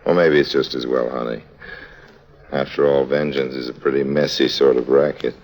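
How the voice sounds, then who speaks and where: A man's voice: extremely low pitch, fairly even intonation, moderate pace, darkish tone. A man playing a breezy, wisecracking con-man adventurer in a 1950s radio drama, with a wry, slangy delivery. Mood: wry, soothing.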